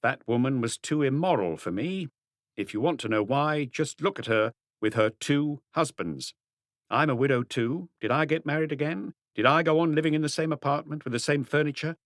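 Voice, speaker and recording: Man voicing an indignant old widow, pitched up. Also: moderate pace, a bit bright, dry recording, clean studio sound, close to an everyday voice. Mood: indignant disapproval. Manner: disapproving, moralising. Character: indignant, prim elderly widowed grandmother. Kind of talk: audiobook character dialogue.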